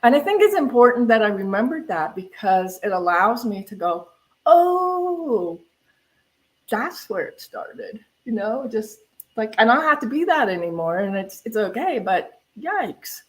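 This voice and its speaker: A woman's voice: fairly high pitch, big pitch swings, a little slow, rough recording. An older woman, a minister, sharing personal reflections in a spiritual talk in a candid, conversational way, stressing key words.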